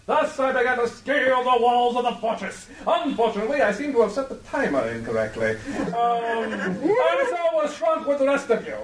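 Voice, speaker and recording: A man's voice: extremely high pitch, very animated, moderate pace, few pauses. A man playing a vain, deluded adventurer hero in a live comedic radio play, pompous and theatrical. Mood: boastful. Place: live convention stage. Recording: echoey live stage, distant mic.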